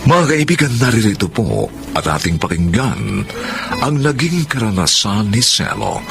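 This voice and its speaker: A man hosting a radio drama with a dramatic, deliberate, scripted introduction. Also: lowish voice, big pitch swings, gravelly, music in the background. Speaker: drama host and announcer.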